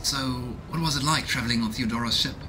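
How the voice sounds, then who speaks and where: A man's voice: mid-range voice, fast. Musing male gamer over noisy game ambience. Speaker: let's play host. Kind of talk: casual game commentary and reading game text aloud. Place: small home room indoors. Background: video game ambience.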